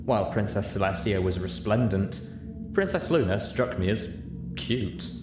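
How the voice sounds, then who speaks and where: A man narrating a first-person story from a script as an audiobook reader. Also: low-pitched. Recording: echoey room with clear background noise.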